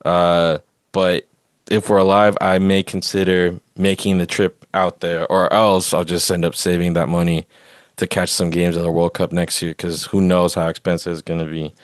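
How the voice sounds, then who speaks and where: A man's voice: low-pitched, level tone, moderate pace, no room sound, clean studio sound. A man hosting a podcast, talking through sports opinions in a casual, rambling way. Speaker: podcast host.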